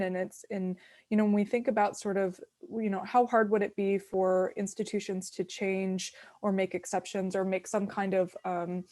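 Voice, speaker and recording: This is a woman with a soft, mellow tone, clearly congested and stuffed up from being sick. She speaks as an academic on a discussion panel, reasoning her points out as she goes. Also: medium pitch. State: sick with a cold.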